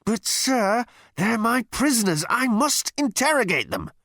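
Frantic officious space officer, worked up and swooping all over, comic sci-fi audiobook. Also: male voice, very high-pitched, sing-song, measured pace, bright, forceful tone, no room sound, studio quality, voice pushed up, exaggerated voice. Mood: exasperated insistence. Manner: squealing, screaming, hissing officiousness. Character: frustrated, trembling, shrill military second-in-command alien. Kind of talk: comic science fiction audiobook character.

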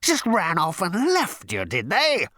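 Huge dim blustering war robot. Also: male voice, fairly high pitch, huge pitch swings, vocal fry, bright, forceful tone, dry recording, clean studio sound, voice pushed up, full character voice. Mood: blustering fury. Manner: bellowing, slow on the uptake. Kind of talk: audiobook character voice.

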